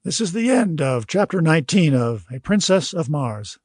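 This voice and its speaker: A man reading scripted audiobook narration in a measured, literary storytelling manner. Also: on the high side, big pitch swings, a little mellow, no room sound, clean studio sound.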